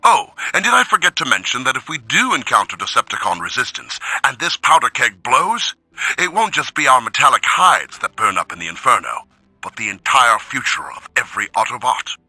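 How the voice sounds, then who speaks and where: An actor playing an uneasy, dryly sarcastic robot soldier in a video game audio log, worried and emphatic. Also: male voice, mid-range voice, sing-song, a little fast, sharp tone, husky, no room sound, exaggerated voice, rough recording. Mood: anxious, sarcastic.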